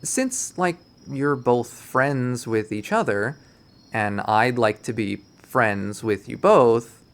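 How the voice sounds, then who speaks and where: A man voicing an awkwardly hopeful young male student in a visual novel, halting, anxious and earnest. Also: mid-range voice, lively intonation. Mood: nervous, earnest.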